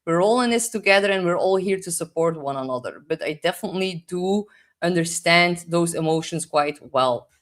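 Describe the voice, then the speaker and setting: A woman's voice: on the low side, fairly quick. A young woman with a Dutch accent gives a rambling, casual astrology forecast, full of "like" fillers, sometimes searching for English words. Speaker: astrologer host.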